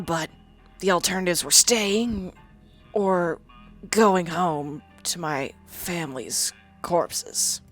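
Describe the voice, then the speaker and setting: A woman's voice: mid-range voice, natural voice. A woman voicing a sardonic, crude young female ghoul, a former psychology student, in a game dialogue. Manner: blunt, crude and defensive. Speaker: companion character. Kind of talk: fan-voiced game dialogue.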